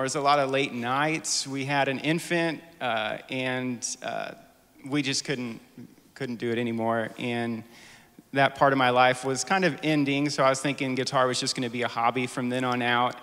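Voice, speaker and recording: A man in his forties, a church band guitarist, tells a personal story to a congregation from a stage with a handheld mic, joking and halting with many fillers. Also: mid-range voice, pushed and bright, clean tone. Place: church stage before an audience. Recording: long hall reverb.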